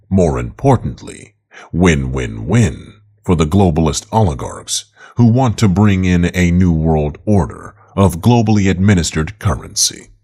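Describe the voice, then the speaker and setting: A man's voice: low voice, darkish tone, slightly rough. A man reading an opinion article aloud as scripted narration.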